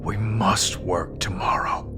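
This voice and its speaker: An actor playing a laconic, steely vampire hunter, deliberate and grim, in an audio drama. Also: male voice, lowish voice, fairly even intonation, in a whisper, music in the background. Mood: grim, resolute.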